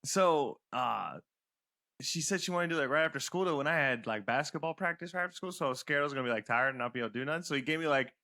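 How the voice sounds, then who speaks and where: A man's voice: fairly high pitch, fast. A man in his thirties, a podcast co-host, telling casual anecdotes in slangy, profane talk. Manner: slangy, animated storytelling with profanity.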